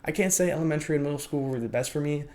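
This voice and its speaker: A man's voice: on the high side, rapid-fire, darkish tone. Young man rambling through his life story. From United States. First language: Lithuanian. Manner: reflective, rambling, often hedges with "I would say" and "you know". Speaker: vlogger. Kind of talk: personal life story, unscripted.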